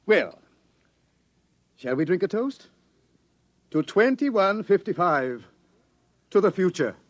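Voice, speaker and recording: An actor playing a suave, menacing pursuer with mock courtesy in a 1950s radio drama. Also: male voice, sits high, big pitch swings, exaggerated voice. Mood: smugly menacing. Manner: theatrical, mock-courteous, slow. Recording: lo-fi old radio, faint noise.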